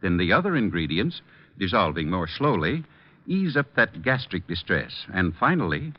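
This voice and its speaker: A man's voice: low-pitched, big pitch swings, normal speed. An American man delivering a polished, emphatic 1940s radio commercial as an announcer. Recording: dull old radio recording.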